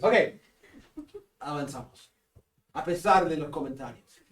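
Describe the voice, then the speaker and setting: A man with a northern Peruvian Spanish accent giving a seminary class lecture, joking with students and pausing on fillers. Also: fairly high pitch. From Peru. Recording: echoey classroom from a distance.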